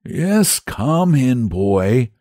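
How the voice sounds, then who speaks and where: A man's voice: a little high, measured pace, darkish tone, no echo, voice pushed up, lightly acted. A man reading aloud, doing a grand, kindly knight for a storybook, lifted well above his own voice. The speech is lofty and old-fashioned, and the recording is dry and close.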